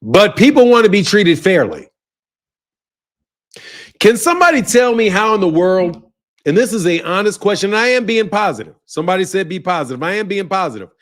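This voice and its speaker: A man ranting about boxing, forceful and full of rhetorical questions. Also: high-pitched, very animated, a little fast. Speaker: boxing commentator. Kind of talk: animated rant.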